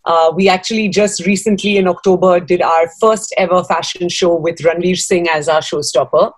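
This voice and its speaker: A woman's voice: lowish voice, fairly even intonation, moderate pace, no echo. A woman in her thirties from Dubai, giving conversational interview answers on a video call from a room at home. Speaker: guest, fashion designer.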